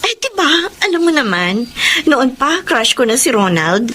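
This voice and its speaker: Woman acting a bubbly, high-pitched young Filipina friend. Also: big pitch swings, moderate pace, sharp tone, full character voice. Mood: giddy. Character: bubbly, gossipy young girlfriend with a crush. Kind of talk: Filipino radio drama acting.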